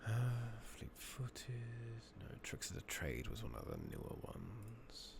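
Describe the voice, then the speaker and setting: A man giving soft-spoken ASMR game commentary, muttering casually to himself and often slipping into a whisper, on a noisy close mic. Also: fairly low pitch, wildly swooping pitch, slow pace, gravelly. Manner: murmuring, often dropping into whispers. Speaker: video game streamer. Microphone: makeshift-rigged new microphone. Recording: noisy close mic, slightly loud, clicking.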